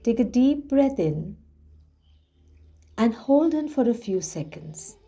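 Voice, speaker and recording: Very slow, soft dark-voiced woman guiding relaxation. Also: fairly high pitch, sing-song, slightly rough. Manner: soothing, hushed, gently drawn-out phrasing. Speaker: guided meditation host. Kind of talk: guided relaxation visualization. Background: background music.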